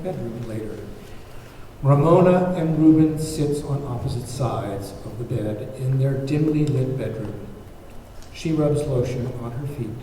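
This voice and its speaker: Mellow male narrator in an echoey hall. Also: mid-range voice. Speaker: narrator reading stage directions. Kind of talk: scripted screenplay narration. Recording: very echoey hall, distant mic, faint noise.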